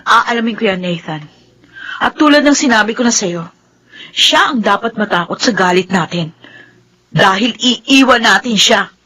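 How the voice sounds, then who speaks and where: An actress playing an angry, defiant grown daughter and older sister in a Filipino radio drama, indignant and accusing. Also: female voice, medium pitch, animated, moderate pace, bright, forceful tone, husky. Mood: bitter, defiant.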